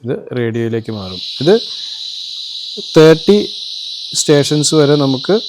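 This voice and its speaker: A man's voice: mid-range voice, lively intonation, measured pace, background noise. A man with a Malayali accent from Kerala presents an electronics demo, explaining things informally, step by step. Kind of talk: informal product demo and tutorial.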